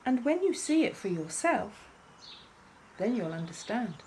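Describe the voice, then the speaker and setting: A woman voicing a loyal young male bunny in a children's storybook, earnest, reassuring and gently chiding. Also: a little high, big pitch swings, a little slow, slight background noise, pitched above the performer's own voice. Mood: patient, chiding. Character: loyal, patient young bunny, son of a rabbit. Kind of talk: children's storybook character reading.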